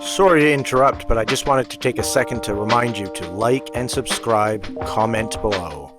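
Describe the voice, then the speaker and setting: A man's voice: mid-range voice, moderate pace, over loud music. A man hosting an online channel, reading a scripted intro and promo.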